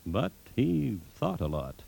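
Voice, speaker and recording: An actor playing a droll, solemn crime-history storyteller, narrating a 1950s radio drama in deliberate, dry, clipped phrases. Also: male voice, medium pitch, wildly swooping pitch, gravelly, mellow, husky, full character voice. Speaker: host-narrator.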